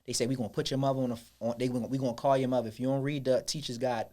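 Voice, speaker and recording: A man's voice: mid-range voice, flat delivery, very fast, dark tone. A man co-hosting a podcast, telling a school story in slangy casual chat with lots of restarts. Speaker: podcast co-host.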